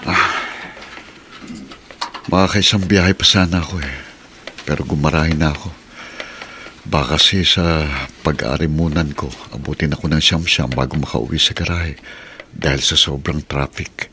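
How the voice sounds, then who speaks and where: A man's voice: rumbling low, fairly even intonation, normal speed, long pauses, pushed and bright, slight background noise, full character voice. A man acting in a radio drama as a humble, weary driver and family man, plain-spoken and concerned. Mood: tired, musing. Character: weary, humble working-class husband and father, a driver.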